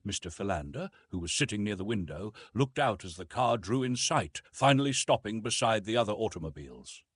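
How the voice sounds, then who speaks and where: A man's voice: fairly low pitch, expressive, a little fast, breathy edge, no room sound. A man reading an adventure novel as a narrator, in a dramatic scripted style. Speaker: audiobook narrator.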